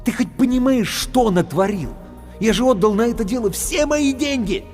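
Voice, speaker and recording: A man voicing an indignant young male rogue who shouts his complaints. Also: extremely high pitch, animated, normal speed, voice pushed up. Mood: furious. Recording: reverberant with background music.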